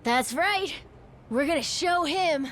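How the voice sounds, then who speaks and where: A woman's voice: high-pitched, animated, slow pace, a bit bright, slight background noise, full character voice. A woman voicing a plucky, eager young child on a pirate crew in a video game. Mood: fired up. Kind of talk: video game cutscene acting.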